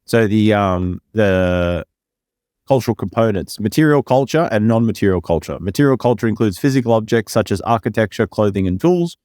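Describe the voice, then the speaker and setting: A man co-hosting a podcast who reads definitions aloud with small slips, then chats in a relaxed, joking way. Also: lowish voice, brisk, no echo, studio quality. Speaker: podcast co-host. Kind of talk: reading a definition aloud, then casual chat.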